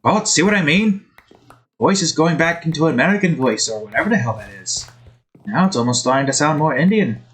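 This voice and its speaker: A man's voice: a little high, lively intonation, brisk, fairly bright tone. A man hosting a game video with casual, rambling commentary in a put-on Scottish accent that wavers. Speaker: gaming video host. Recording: faint background noise.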